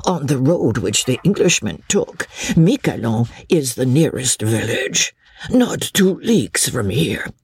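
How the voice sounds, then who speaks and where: A man voicing a cunning, greedy old male peddler in an audiobook, humble and deferential in his deliberate speech. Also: medium pitch, sing-song, husky, dry recording, clean studio sound, full character voice. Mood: servile, sly. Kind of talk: audiobook character voice.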